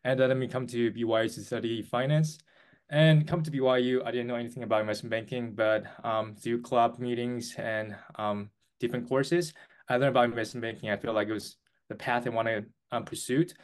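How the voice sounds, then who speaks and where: A man's voice: mid-range voice, steady tone. Earnest young Taiwanese-born man. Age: 20s. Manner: earnest, halting with restarts and fillers. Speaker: guest. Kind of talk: interview answers.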